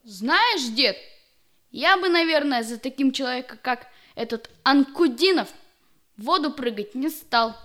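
A woman's voice: high voice, lively intonation, a little slow, a bit bright. A woman playing a frank young grandchild, talking conversationally in an audio drama. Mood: doubtful. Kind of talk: conversational audio-play acting.

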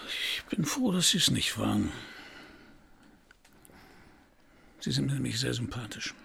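An actor playing a weary, flirtatious middle-aged private detective in a radio crime drama, speaking in a laconic, dry, intimate way with a gravelly, husky voice. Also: male voice, medium pitch, expressive, fairly quick, pushed and bright, full character voice. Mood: tender, wry. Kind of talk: German radio crime drama, dialogue and first-person narration.

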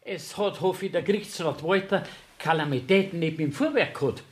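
An actor playing an officious, inquisitive village court clerk with a Bavarian accent in a dialect radio play. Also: male voice, fairly high pitch, a little fast, lightly acted. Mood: inquisitive concern.

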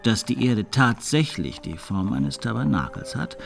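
An actor playing a learned, sly, greedy monk in a radio drama, lecturing and argumentative. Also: male voice, mid-range voice, animated, measured pace, creaky, faint music behind. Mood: pompous lecturing.

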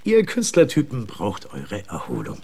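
An actor playing a jovial, boastful rich American host in a radio play, effusive and given to chuckling. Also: male voice, on the low side, huge pitch swings, measured pace, gravelly, a little mellow, breathy edge, exaggerated voice. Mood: proud, hearty.

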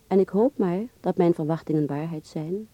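Actress as a mellow, teasing young letter-writer. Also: female voice, lowish voice, moderate pace, dry recording, highs cut off, close to an everyday voice. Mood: teasing, wistful. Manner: teasing, intimate, chatty. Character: teasing young Dutch woman, a beautician writing letters. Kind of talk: radio drama letter monologue.

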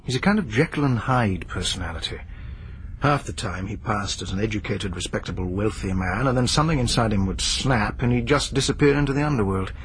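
An actor playing a persistent, measured police inspector in a radio drama. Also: male voice, on the low side, animated, a little hiss or hum, natural voice, rough recording. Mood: earnest report. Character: dogged, skeptical police inspector Narracott.

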